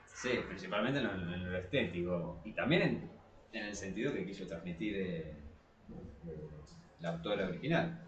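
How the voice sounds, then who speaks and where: A man chatting casually as a podcast co-host, in a hesitant, halting way. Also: sits low, lively intonation, distant mic, slight background noise. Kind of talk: casual chat. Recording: echoey room, away from the mic.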